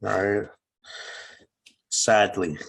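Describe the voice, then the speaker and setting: A man's voice: lowish voice, extremely slow, vocal fry, fairly bright tone. A man co-hosting a podcast, chatting casually in a slangy, joking, playfully nitpicky way. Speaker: podcast co-host. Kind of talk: casual banter reviewing a movie.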